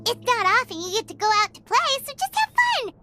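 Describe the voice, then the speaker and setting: A woman voicing a tiny, chatty female companion in a game cutscene, with a very high, squeaky, excitable voice. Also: brisk, heavily acted. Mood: bubbly. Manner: excitable, cheering. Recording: game audio with background music.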